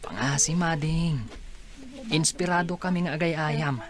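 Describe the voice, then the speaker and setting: A man's voice: on the high side, a little slow. A man playing a friendly young student athlete in a radio drama, pleading and coaxing as he talks.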